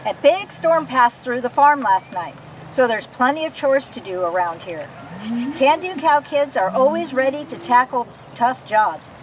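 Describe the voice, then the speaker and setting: A woman's voice: high voice, sing-song, measured pace. A woman reading a children's picture book aloud expressively, as if to young listeners. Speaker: storybook reader. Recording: noisy recording, distant from the mic.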